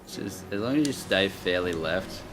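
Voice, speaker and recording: A man's voice: deep voice, expressive, moderate pace, over a noisy crowd. A man co-hosting a gaming video, dryly teasing friends and coaching their play. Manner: dry teasing, gives instructions. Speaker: gaming co-host coaching a player. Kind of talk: casual gaming banter.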